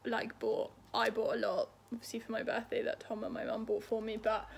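A woman's voice: on the high side, level tone, brisk, creaky. A woman in her twenties hosting a casual book chat and prompting her companion. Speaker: book vlog host. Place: small room at home, indoors. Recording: faintly noisy home room recording.

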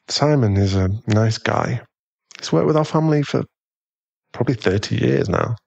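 A man acts out an aristocratic British werewolf fiancé for an ASMR audio drama. The voice is a fairly low male one, affectionate and polite, with a gentle, unhurried delivery. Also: creaky. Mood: relaxed, intimate. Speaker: ASMR boyfriend voice actor. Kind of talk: ASMR boyfriend audio roleplay.

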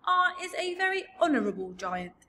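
A woman voicing a huge, very old, gentle male giant from a storybook, with mangled grammar and invented words. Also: a little high, expressive, moderate pace, slight background noise, pitched above the performer's own voice. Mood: proud, gentle. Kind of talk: storybook character voice.

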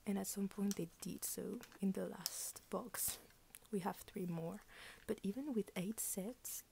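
A woman's voice: mid-range voice, measured pace, creaky, mellow, a little hiss or hum. A tired young woman whispering at home, gushing and rambling as she unboxes collectible cards. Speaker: unboxing vlogger.

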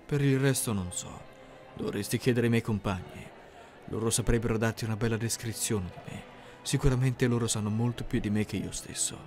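A man playing an earnest, socially awkward high-school boy in an anime-style roleplay, deadpan, literal and hesitant. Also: a little fast, natural voice. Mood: pensive, awkward. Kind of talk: scripted anime fan-dub roleplay. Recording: music behind the voice.

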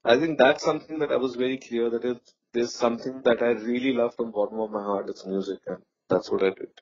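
A man's voice: mid-range voice, steady tone, fast, dull audio. A man in his thirties from North India with an Indian English accent, a musician guest talking reflectively in a casual interview, with many fillers and restarts.

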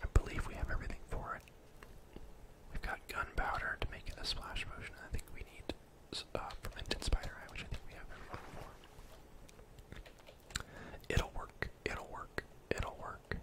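A young man whispering soft, breathy ASMR gameplay commentary in a casual, rambling way, thinking aloud close to the microphone. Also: moderate pace. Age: young adult. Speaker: gaming ASMR streamer.

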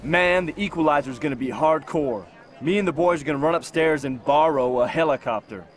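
An actor playing a laid-back skateboarder, giving a stunt briefing in a video game. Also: male voice, on the high side, fairly quick, lightly acted. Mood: pumped. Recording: very noisy, helicopter and vehicle sounds.

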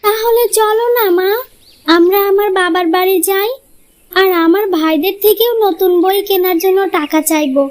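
A very high, childlike voice of a small village girl in a cartoon fairy tale. She is sweet and earnest, pleading gently with her elders. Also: moderate pace. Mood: pleading, hopeful. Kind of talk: Bengali moral-tale cartoon dubbing.

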